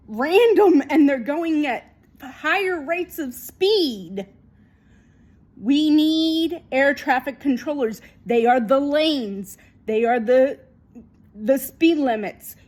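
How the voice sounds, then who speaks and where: A woman giving an unscripted opinion monologue in an emphatic, repetitive, halting way. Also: high-pitched, darkish tone. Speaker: video commentator.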